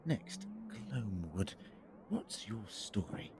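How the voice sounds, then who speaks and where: An actor playing an eccentric, inquisitive time-traveller in an audio drama, muttering briskly to himself. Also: male voice, lowish voice, very animated, heavy background noise, exaggerated voice. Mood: puzzled, musing.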